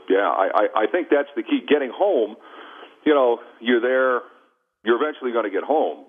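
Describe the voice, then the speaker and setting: Low, husky American man on phone line. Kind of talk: casual sports commentary. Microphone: phone call. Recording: tinny band-limited phone line on radio.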